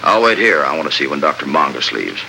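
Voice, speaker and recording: An actor playing a brisk, no-nonsense desert lieutenant, plain-spoken and matter-of-fact, in 1950s radio drama style. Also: male voice, very deep voice, sing-song, gravelly, pushed and bright, heavily acted. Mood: hushed, watchful. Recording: noisy old radio drama recording.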